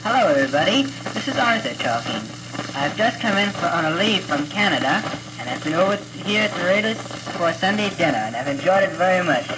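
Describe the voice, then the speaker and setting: A man's voice: high-pitched, very animated, a little fast. A man giving a polite, straightforward greeting on a scratchy 1940s home record.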